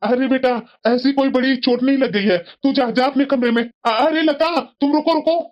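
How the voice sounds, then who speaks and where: A woman's voice: high voice, normal speed, muffled recording, lightly acted. A woman voicing a grumbling but sensible elderly husband who scolds and reasons in a family cartoon. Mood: impatient. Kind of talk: Hindi family cartoon voice acting.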